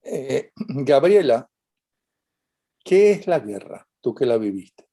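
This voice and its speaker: A man hosts the interview with a gravelly, dark voice. He sits away from the mic, so he sounds distant. He asks his questions slowly and probingly. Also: mid-range voice, big pitch swings. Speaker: interviewer, host.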